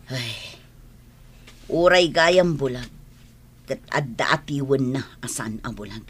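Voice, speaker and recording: A woman's voice: mid-range voice, slight background noise, natural voice. A woman with an Ilocano accent playing a bitter, scolding grown daughter in a radio drama. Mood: resentful.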